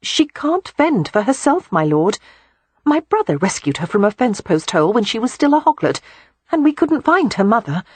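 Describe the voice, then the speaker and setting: A lively young gentlewoman chatters brightly and earnestly, her voice pitched high and leaping about, as a woman reader puts her on. The tone is a little husky and recorded close and dry. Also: moderate pace, dry recording. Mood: eager. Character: eager, bright young gentlewoman, frank and warm.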